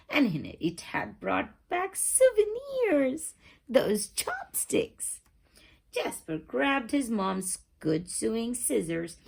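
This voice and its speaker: Female children's storyteller, very animated, slow delivery, some vocal fry, builds suspense. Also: mid-range voice. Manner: expressive, suspenseful, stretches key words. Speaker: storyteller reading a picture book aloud. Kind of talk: animated children's read-aloud with dramatic whispers and questions.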